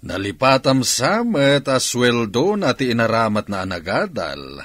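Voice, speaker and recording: Radio drama man, Ilocano accent: frank and reasonable, animated intonation, close dry sound. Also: medium pitch, unhurried, dry recording. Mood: reasoning, insistent. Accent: Filipino, Ilocano. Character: reasonable, plain-speaking man defending others.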